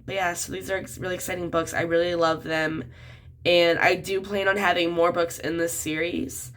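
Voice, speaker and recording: A woman's voice: on the low side, fairly bright tone. Chatty young woman, a little sick. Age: 20s. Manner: chatty, many "um" and "like" fillers. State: sick, voice a bit off. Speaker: children's book author. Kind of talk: casual talk to camera.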